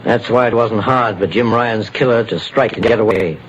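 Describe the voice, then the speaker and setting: An actor playing a calm, authoritative private investigator in a 1940s radio drama. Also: male voice, fairly low pitch, lightly acted. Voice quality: measured, authoritative. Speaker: detective lead. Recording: dull lo-fi old radio recording, faint hiss.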